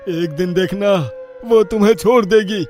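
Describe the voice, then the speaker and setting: An actor playing a blunt older village uncle in a story, admonishing and emphatic. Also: male voice, sits high, moderate pace, a little mellow, soft background music, lightly acted. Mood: warning.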